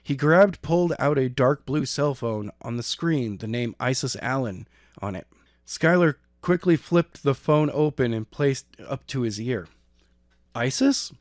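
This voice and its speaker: A man's voice: mid-range voice, animated, a little slow, dry recording, studio quality. A man reading an action story as an amateur narrator, stumbling and repeating phrases as he goes. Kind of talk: scripted narration of an action novel.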